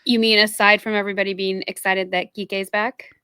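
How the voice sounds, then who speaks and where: A woman co-hosting a podcast in casual chat, with quick, teasing banter. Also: medium pitch, level tone, pushed and bright, clear, resonant tone, no room sound, clean studio sound. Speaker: podcast co-host.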